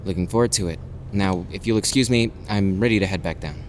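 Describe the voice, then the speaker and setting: A man's voice: deep voice, brisk, a little hiss or hum, lightly acted. An actor playing an eager young male paladin lieutenant, a bit awkward with formality. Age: teen. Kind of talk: audio drama acting.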